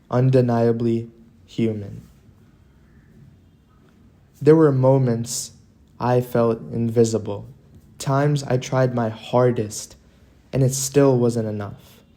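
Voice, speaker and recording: Man, motivational narration, scripted, earnest, deliberate, dramatic pauses, slow tempo, warm relaxed tone. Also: mid-range voice.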